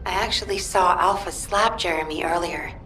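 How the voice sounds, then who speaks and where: A woman playing a dutiful military operations officer in a video game, speaking in a formal, measured, earnest way. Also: on the low side, a bit bright, faint music behind, lightly acted, low-quality recording. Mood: earnest, confiding. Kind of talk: video game mission briefing.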